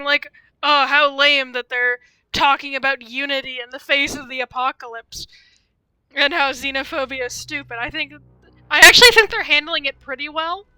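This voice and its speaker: A young woman gives casual live game commentary in a high, very bright voice. She rambles chattily through asides, recorded dry and close with faint music behind. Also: dry recording, rough recording. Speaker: game streamer.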